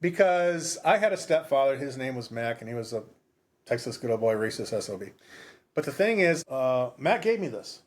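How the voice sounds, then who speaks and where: A man's voice: medium pitch, sing-song, moderate pace. A man in his fifties talks casually as a podcast co-host, with a mid-range voice. He rambles through his points and repeats phrases to drive them home. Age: 50s. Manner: rambling, emphatic repetition. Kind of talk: casual book discussion.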